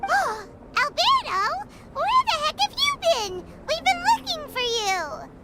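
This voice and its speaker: A tiny, blunt fairy girl chatters in a squeaky, extremely high voice. Her delivery swings wildly in pitch and stays unhurried, childlike and expressive, in game style over prominent music. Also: exaggerated voice. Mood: flustered. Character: small excitable fairy-like companion girl, chatty, blunt, refers to herself by name. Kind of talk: video game voice acting.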